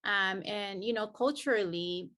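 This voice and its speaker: A woman from El Salvador speaks as a podcast guest, sharing her story in an earnest, reflective way. She often restarts her sentences as she searches for words, and her voice sits at a middle pitch. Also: a little slow, a bit bright. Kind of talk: personal storytelling in an interview.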